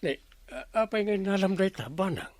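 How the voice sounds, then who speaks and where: A man's voice: high voice, animated, moderate pace, darkish tone, no echo. A man playing a blustery, vain village captain, comic and wheedling, in a radio drama. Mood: defensive. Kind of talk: comedy radio drama acting.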